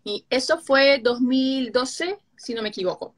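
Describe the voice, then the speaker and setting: A woman's voice: sits high, measured pace. A woman from Brazil with a Uruguayan-Argentine Spanish accent and Portuguese as her first language, hosting a casual live-stream chat. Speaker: host.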